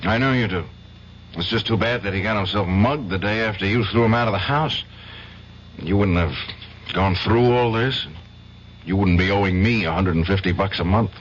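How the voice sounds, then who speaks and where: An actor playing a conscientious, uneasy trial lawyer in a 1970s radio drama. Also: male voice, on the low side, moderate pace, close to an everyday voice. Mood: weary patience. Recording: dull, noisy 1970s radio broadcast.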